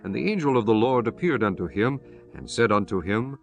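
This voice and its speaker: A man narrating dramatized scripture as an audiobook, in a solemn, measured storytelling manner. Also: lowish voice, expressive, vocal fry, dull audio, soft background music.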